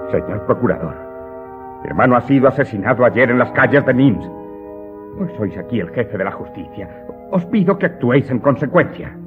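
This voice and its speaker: A man's voice: medium pitch, big pitch swings, moderate pace, natural voice. An actor playing an aggrieved servant earnestly telling his story to his master in a radio drama. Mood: indignant pleading. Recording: muffled narrow-band audio drama recording. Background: loud music.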